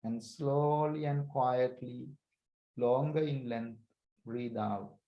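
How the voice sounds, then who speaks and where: Man, meditation teacher, very slow pace, calm short phrases, long pauses, roomy echo. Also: mid-range voice, lo-fi sound. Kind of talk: guided breathing meditation instruction.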